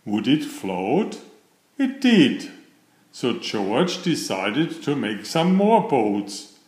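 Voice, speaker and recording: Slow, expressive male storyteller in echoey room. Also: mid-range voice. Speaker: storybook narrator. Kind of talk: children's read-aloud narration.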